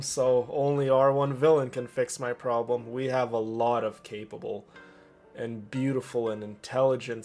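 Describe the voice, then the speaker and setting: Earnest man talking over faint music. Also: mid-range voice. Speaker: streamer addressing a community. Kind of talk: casual unscripted personal talk over gameplay. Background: game music and character voices.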